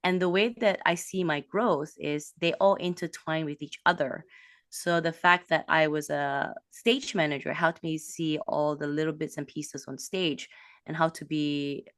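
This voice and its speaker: A woman from a Malaysian background, a business coach, talking in an animated way with quick bursts and restarts. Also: sits low, no room sound, studio quality. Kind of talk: casual interview talk.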